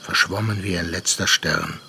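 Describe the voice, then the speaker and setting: A man narrating a sea tale in a German radio play, calm, grave and measured. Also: low-pitched, gravelly, a little hiss or hum, heavily acted. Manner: measured, sober.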